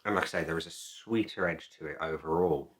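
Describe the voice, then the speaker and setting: A man giving a casual product review to camera as a video host, speaking in a halting, deliberate way and repeating phrases. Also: very deep voice.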